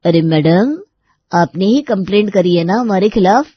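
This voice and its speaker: Actress as confrontational young street lad, sarcastic story dialogue, medium-pitched, dry. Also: female voice, animated, moderate pace, breathy edge, no room sound, dull audio. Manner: challenging, sarcastic. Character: cocky, confrontational young male street loiterer.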